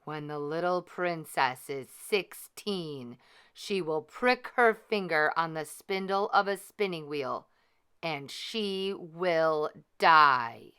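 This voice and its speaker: Wicked storybook fairy played by a female reader: forceful, slow, menacing, vengeful. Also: sits low, clean tone, heavily acted. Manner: menacing, emphatic declaiming.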